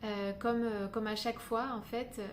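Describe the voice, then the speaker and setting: A woman's voice: on the high side, flat delivery, normal speed, a little hiss or hum. A woman from Paris, talking through her reflections in an unscripted vlog, with many hesitations and "euh" fillers. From Paris, France. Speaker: spiritual guidance vlogger. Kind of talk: unscripted reflective monologue to camera. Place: indoors in Paris during a thunderstorm. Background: faint storm ambience.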